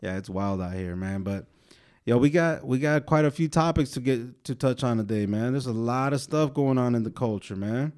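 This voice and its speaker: A young man co-hosting a casual podcast, slightly hoarse from a sore throat, rambling in a laid-back way. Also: mid-range voice, normal speed, a little mellow. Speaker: podcast co-host. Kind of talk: casual chat.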